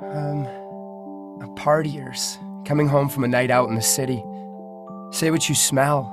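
A man's voice: medium pitch, steady tone, measured pace, over music, lightly acted. An actor playing an earnest male journalist and affectionate husband who narrates a theatrical audio drama. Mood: playful, tender.

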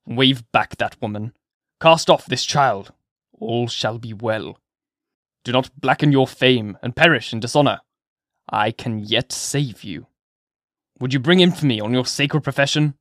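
A sinister, bitter old man, as a male narrator puts him on who drags his words out slowly and keeps them low and threatening. The sound is dry and close. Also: a bit bright, no echo. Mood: urgent.

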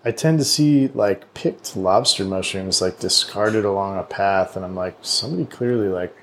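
A man's voice: low voice, normal speed, a bit bright, slight background noise. A man chatting casually as an interviewer, with a loose, rambling, slangy and often profane way of talking. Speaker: interviewer, podcast host. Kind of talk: casual chat.

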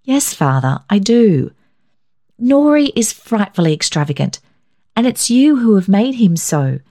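A woman's voice: medium pitch, sing-song, measured pace, warm tone, voice pushed up. A woman voicing a frank, affectionate young mother in a storybook reading. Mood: earnest.

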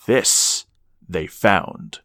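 An actor playing a grave storyteller, narrating a gothic horror radio drama with solemn, theatrical, measured phrasing. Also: male voice, sharp tone, dry recording, studio quality. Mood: solemn, ominous. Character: grave storyteller of an ancient dread legend.

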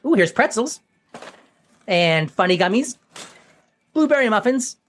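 A woman voicing an excitable, childish young male monkey in a children's cartoon, giddy and whiny. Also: mid-range voice, a little slow. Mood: chattering. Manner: giddy, whiny, easily distracted.

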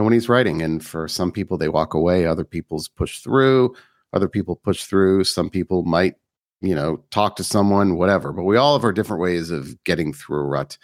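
A man's voice: deep voice, dry recording, studio quality. A man hosting an interview show, talking in a conversational, informal and explanatory way. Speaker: podcast host and interviewer.

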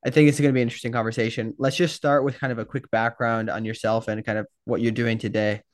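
A man's voice: mid-range voice, flat delivery, fast talker, dry recording, clean studio sound. A man hosting a podcast interview, asking friendly, rambling questions with restarts. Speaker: podcast host.

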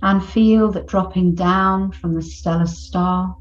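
A woman leading a guided meditation in a soothing, unhurried way, leaving long pauses between phrases. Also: lowish voice, steady tone, muffled recording. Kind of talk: slow guided meditation narration.

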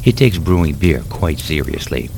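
A man voicing a poised, wicked female vampire who speaks in a calm, measured, dryly amused way. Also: very deep voice, gravelly, slight background noise, full character voice. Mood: wry.

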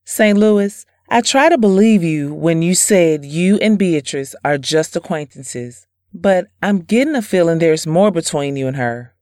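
An actress playing a gentle, trusting wife and mother, politely spoken, in an audio drama. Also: on the low side, expressive, a little slow, no echo, studio quality. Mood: earnest.

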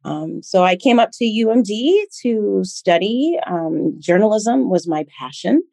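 A woman's voice: medium pitch, lively intonation, unhurried, gravelly, warm tone, no echo. A woman in her forties, born in Liberia and raised in Minnesota, telling her life story in an interview with many thoughtful ums. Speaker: teacher and consultant, guest.

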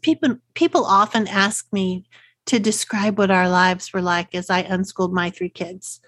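Middle-aged Texan woman, podcast host, friendly and chatty, mid pitch, dry close sound. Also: normal speed, fairly bright tone, no room sound. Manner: friendly, chatty, asks rhetorical questions. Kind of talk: scripted solo podcast talk.

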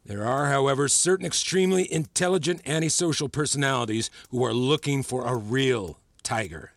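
A man plays an erudite male mentor in a podcast drama, recorded close and dry. His mid-pitched voice is measured and teacherly, with a probing, patronising manner that steers whoever he addresses. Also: dry recording, natural voice. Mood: measured, instructive. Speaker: voice actor in a fiction podcast. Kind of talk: acted audio drama dialogue.

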